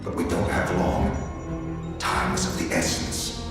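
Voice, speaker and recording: An actor playing a solemn, mysterious plotter in a video game, grave, formal and theatrical in delivery. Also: male voice, slow, close to an everyday voice. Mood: grave, urgent. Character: solemn, mysterious male plotter pleading for a hero's help. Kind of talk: video game cutscene acting. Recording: cavernous reverb under loud music.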